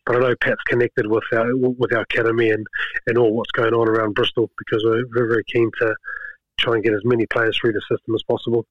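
A middle-aged man, chatting as a guest in a relaxed way, often repeating words as he gathers his thoughts. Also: mid-range voice, fast talker, no room sound. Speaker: guest, rugby skills coach. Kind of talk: casual interview chat. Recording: slightly dull lo-fi sound.

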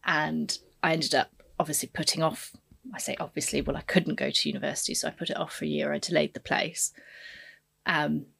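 A woman's voice: low-pitched, level tone, a little fast, creaky, a bit bright, slightly rough. A woman who grew up in East Africa, a podcast guest, reflecting on her past in a casual interview and searching for her words.

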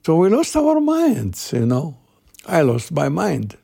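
A man in his eighties from Iraq with an Iraqi Arabic accent, a native Arabic speaker, telling personal memories in halting, repetitive phrases. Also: a little high, huge pitch swings, creaky, mellow, slightly rough. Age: 80s. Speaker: interviewee recalling his youth. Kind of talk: personal oral storytelling.

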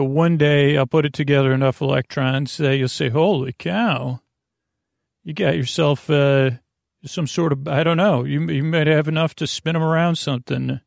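A man hosting a podcast, delivering a meandering, halting, self-deprecating bedtime monologue that keeps interrupting itself with digressions. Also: medium pitch, vocal fry, no echo, studio quality. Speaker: podcast host.

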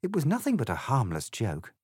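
A man's voice: medium pitch, huge pitch swings, a little fast, a little mellow, husky, dry recording, clean studio sound, voice pushed up. A man voicing a prim, polite and puzzled young girl in a storybook satire. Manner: polite and proper.

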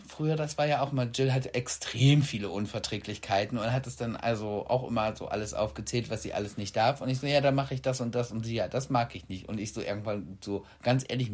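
A man's voice: mid-range voice, a little mellow. Chatty man cutting in on a podcast. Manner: chatty, quick interjections, retells own quotes. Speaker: podcast co-host. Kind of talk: casual chat.

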